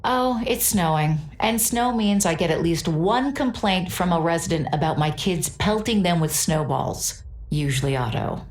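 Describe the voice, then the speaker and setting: Actress as a weary, resigned mother, low-pitched. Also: lively intonation, moderate pace, echoey room, close to an everyday voice. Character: weary, exasperated mother in a village. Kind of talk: video game character acting.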